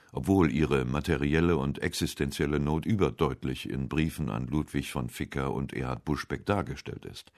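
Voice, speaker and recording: A man narrating a literary radio essay from a script in a measured, serious manner. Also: rumbling low.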